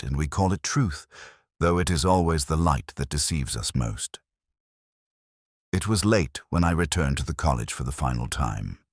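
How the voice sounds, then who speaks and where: Very deep-voiced man narrating a detective story. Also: dry recording, clean studio sound. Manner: measured, literary, reflective. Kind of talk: scripted first-person detective story narration.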